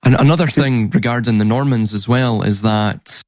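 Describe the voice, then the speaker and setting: A man's voice: medium pitch, moderate pace, darkish tone, no echo. A man talking in a casual podcast conversation, explaining things in an earnest way. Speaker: podcast guest and researcher.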